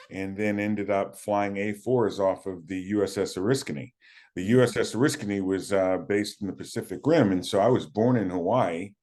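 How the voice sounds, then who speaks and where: A man in his fifties from the United States, recalling his playing days in a relaxed, halting interview manner with many fillers. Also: on the low side. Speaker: guest, former college running back. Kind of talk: casual interview talk.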